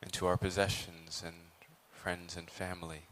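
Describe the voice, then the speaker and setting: A man leading a guided meditation as a Buddhist teacher, speaking in measured phrases with long pauses between them. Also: low-pitched, level tone, a bit bright, slight background noise.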